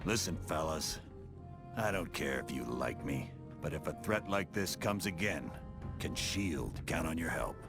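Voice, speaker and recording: A deep, gruff military commander. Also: male voice, expressive, unhurried. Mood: gruff, earnest. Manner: blunt, military, grave. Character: gruff, commanding male spy agency director, middle-aged. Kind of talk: video game cutscene acting. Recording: music clearly behind the voice.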